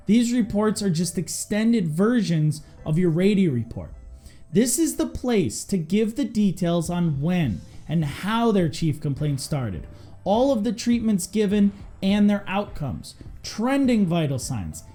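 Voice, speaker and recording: A mellow voice with a very lively, swinging intonation delivers scripted instruction. The speaker hosts as an EMS instructor, emphatic and direct, with faint music underneath. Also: mid-range voice. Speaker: EMS instructor and video host.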